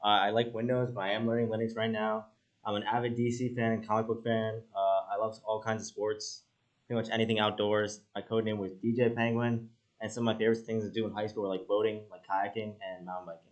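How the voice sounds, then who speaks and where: A young man introduces himself as if going down a list. His voice sits low and barely moves in pitch. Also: little pitch movement, fast talker, lo-fi sound. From New Jersey. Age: young adult. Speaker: student presenter.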